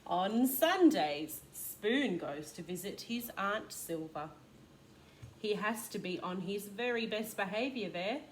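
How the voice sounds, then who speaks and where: Female kindergarten storyteller, very animated intonation, slightly slow, question-asking, noticeable background noise. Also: mid-range voice. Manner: expressive, asks listeners questions. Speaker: kindergarten teacher reading a storybook. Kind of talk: children's storybook read-aloud with asides.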